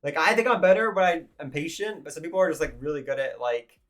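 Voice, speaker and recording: Male streamer, voice going hoarse, rambly thinking-aloud delivery, a touch higher than usual. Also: fast talker, fairly bright tone. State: hoarse, losing his voice. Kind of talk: casual live-stream commentary.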